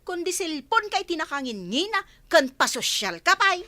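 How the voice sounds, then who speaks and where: An actress playing a scolding, exasperated older sister in a radio drama. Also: female voice, very high voice, big pitch swings, moderate pace, pushed and bright, no echo, full character voice.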